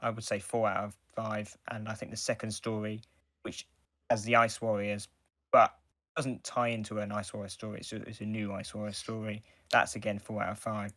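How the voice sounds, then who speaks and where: A man with a fairly low voice talks casually as a podcast co-host. He gives his reviews haltingly, often circling back mid-sentence. The sound is dry, with no room echo. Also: level tone, bright, forceful tone.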